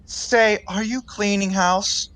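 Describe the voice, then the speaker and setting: A man's voice: high-pitched, fairly even intonation, slow, a bit bright, no room sound. A man acting an earnest, naive young suitor in a 1920s stage comedy, courteous and genial. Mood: puzzled, coaxing.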